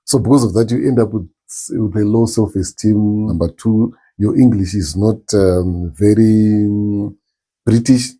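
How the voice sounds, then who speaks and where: A middle-aged man with a strong Zimbabwean accent, answering interview questions thoughtfully with many pauses and restarts. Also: lowish voice, dark tone, slightly rough. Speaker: interview guest, musician. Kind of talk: relaxed interview talk.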